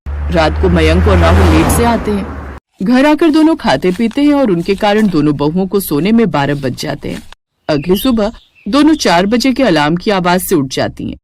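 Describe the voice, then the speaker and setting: A woman's voice: lowish voice, very animated, normal speed, darkish tone, a little hiss or hum. A woman narrating a scripted moral story. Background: music.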